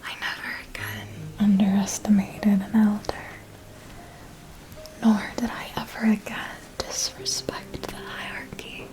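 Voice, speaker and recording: A woman voicing a seductive, menacing elder female vampire mentor in a soft breathy whisper, with deliberate, formal phrasing for an ASMR roleplay. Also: mid-range voice, natural voice, rough recording. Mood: grave, warning.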